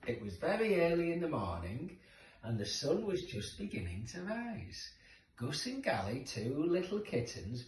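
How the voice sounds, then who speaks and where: A man's voice: medium pitch, a little mellow, slight background noise. A man narrating a children's story expressively, with dramatic emphasis for young listeners. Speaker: storybook reader. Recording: roomy echo, distant microphone.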